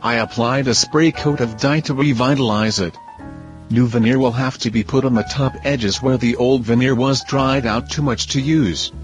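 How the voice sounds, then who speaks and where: A man with a voice of ordinary pitch reads a narration in an even, plain manner. Music plays clearly under his voice-over. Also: normal speed. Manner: steady, plain reading.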